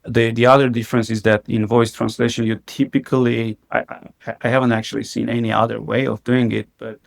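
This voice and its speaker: A man's voice: medium pitch, level tone, brisk, vocal fry. A man, a company CEO and podcast guest, talking casually in an interview and filling his sentences with "like" and restarts. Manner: heavy use of the filler "like", frequent restarts.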